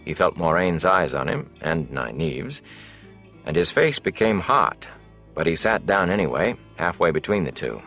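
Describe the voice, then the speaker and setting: Casting: male audiobook narrator, deep voice, scripted fantasy storytelling. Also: big pitch swings, moderate pace, gravelly, fairly bright tone, soft background music.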